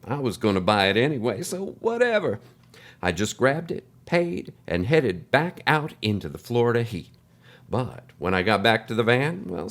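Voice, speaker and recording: Man playing a theatrical, swooping storyteller host. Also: medium pitch. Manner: theatrical, wordy, chatty. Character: folksy, flamboyant travelling male radio host and record collector. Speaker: show host narrator. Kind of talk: scripted first-person storytelling.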